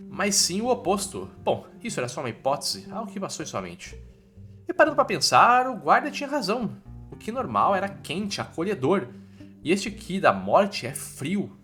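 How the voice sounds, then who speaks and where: Animated Brazilian man narrating a story recap. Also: on the high side. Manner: colloquial storytelling with casual asides.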